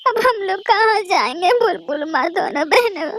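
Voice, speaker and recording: A woman's voice: extremely high pitch, big pitch swings, moderate pace, fairly bright tone, slight background noise, exaggerated voice. A woman voicing a sad, pleading young girl bird in a Hindi cartoon. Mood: tearful, pleading. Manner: pleading, repetitive, plaintive.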